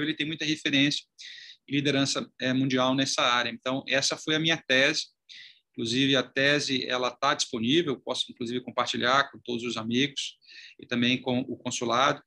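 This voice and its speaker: A man's voice: medium pitch, sharp tone. A man from Salvador, Brazil, in his forties, a chamber of commerce president telling his career story in an interview, articulate with frequent fillers. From Brazil (Salvador, Bahia). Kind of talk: conversational interview.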